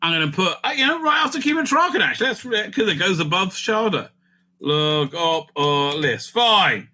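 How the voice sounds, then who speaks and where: A man with a very bright, forceful voice pitched a little high. He talks casually as a video host, thinking out loud as he ranks things. He often breaks off and restarts his sentences in a loose, rambling way. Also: big pitch swings, normal speed. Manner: rambling, thinking aloud, self-interrupting. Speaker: video host ranking TV stories. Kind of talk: casual, off-the-cuff ranking commentary.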